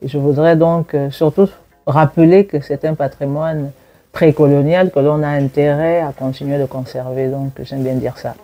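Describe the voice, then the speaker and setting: A woman in her forties from Gabon speaks with a mellow, dark-toned voice, sitting for an interview. She talks reflectively, with hesitant fillers and an easy laugh. Faint music plays quietly behind her. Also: low-pitched, fairly even intonation. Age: 40s. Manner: reflective and warm in tone, laughs while recalling childhood, pauses with fillers. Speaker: university lecturer and researcher. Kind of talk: interview, personal testimony.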